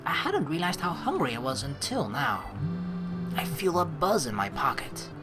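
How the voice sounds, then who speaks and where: A woman's voice: low voice, sing-song, normal speed, music in the background. A woman let's play streamer reading game text aloud and adding chatty commentary.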